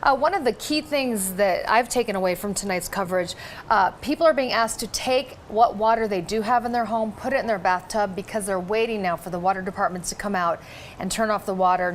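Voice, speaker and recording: A woman's voice: medium pitch, a little fast, a little hiss or hum. A woman co-anchoring a live television newscast, recapping and handing over conversationally. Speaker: news anchor. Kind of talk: live breaking-news anchoring.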